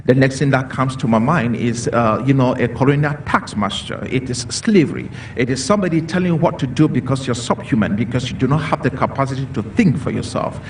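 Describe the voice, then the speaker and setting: A man's voice: mid-range voice, fairly quick, few pauses. A man from Cameroon speaking as a panelist in a discussion, earnest and repetitive, with many fillers. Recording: distant mic with faint noise.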